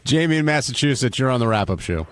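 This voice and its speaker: A man's voice: mid-range voice, expressive, fast, a bit bright. A man hosting a radio talk show, casually putting questions to his panel and callers. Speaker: host of a radio wrap-up show. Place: radio studio.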